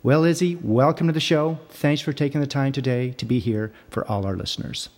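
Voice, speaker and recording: A man's voice: mid-range voice, fairly quick. Podcast host man with a midrange voice. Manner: scripted and even when reading, plain and direct when asking. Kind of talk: reading ad copy and guest intro, then interviewing.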